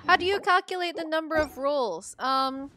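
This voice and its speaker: A woman's voice: high-pitched, moderate pace, sharp tone. A young adult woman from the Philippines chatting casually to viewers on a game livestream in a rambling, chatty way. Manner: chatty, rambling, filler-heavy with frequent "like". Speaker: game streamer.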